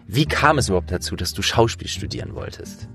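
A man's voice: lowish voice, expressive, brisk, pushed and bright, soft background music. A man chatting casually and animatedly as he hosts an interview. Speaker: interviewer and host, trained actor.

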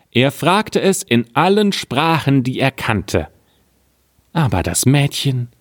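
A man reads an old fairy tale aloud in a calm, measured way. He takes his time, and his voice sits a little low. The sound is dry and close, with no room echo. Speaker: storyteller.

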